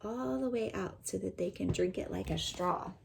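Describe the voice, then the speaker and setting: A woman teacher reading a children's picture book aloud and pausing to explain it in simple words. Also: mid-range voice, creaky, a little mellow, slight background noise.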